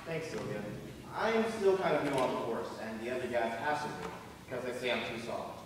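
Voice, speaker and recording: A man on a school stage playing an awkward, soft-hearted young police officer, polite and hesitant. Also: medium pitch, sing-song, fairly quick, close to an everyday voice. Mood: polite, helpful. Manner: hesitant, polite, eager to help. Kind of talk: high school stage comedy acting. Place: indoor school theater stage, mic far off. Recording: echoey, distant, noisy hall recording.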